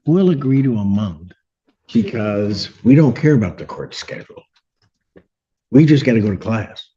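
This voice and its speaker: A middle-aged man presiding as a judge in a courtroom hearing, joking and teasing, then brisk and firm. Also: on the low side, animated, dark tone, highs cut off, slight background noise.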